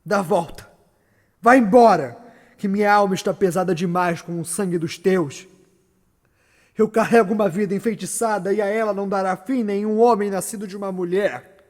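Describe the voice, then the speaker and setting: A man's voice: high-pitched, normal speed, a little mellow, natural voice. A man with a Brazilian accent acting a tormented, guilt-ridden tyrant in a dramatic, declamatory theatrical monologue. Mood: grim, brooding. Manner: dramatic, declamatory, swinging from murmur to cry. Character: tormented, defiant, guilt-ridden male tyrant haunted by bloody memories. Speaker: stage actor. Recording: long reverberant echo around the voice.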